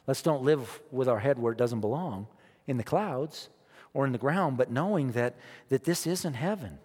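A man preaching a sermon as pastor, emphatic, repeating his phrases and swinging between quiet and loud. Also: mid-range voice, animated, normal speed, husky, in a large hall. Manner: emphatic, repeats phrases, rises and drops in loudness.

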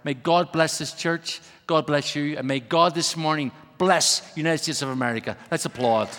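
A man's voice: on the high side, normal speed, a bit bright. A middle-aged Irish man, a guest preacher and missionary, joking with the congregation and building to a rousing, emphatic sermon. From Ireland. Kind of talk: humorous sermon. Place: large church sanctuary. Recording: long reverb of a large hall.

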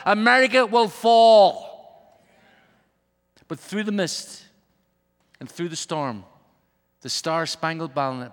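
A middle-aged man with an Irish accent preaches with a high, bright, ringing voice. He jokes and then rises to emphatic calls. His words echo with the long reverb of a big church hall. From Ireland. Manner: joking, then rousing and emphatic. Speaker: guest preacher, missionary. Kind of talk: humorous sermon. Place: large church sanctuary.

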